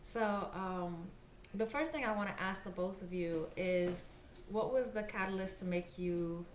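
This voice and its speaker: A woman's voice: medium pitch, unhurried, slight background noise. Upbeat woman host in an echoey room. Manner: upbeat, casual, hype. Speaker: podcast host. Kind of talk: casual podcast hosting. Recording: echoey room with faint ambience.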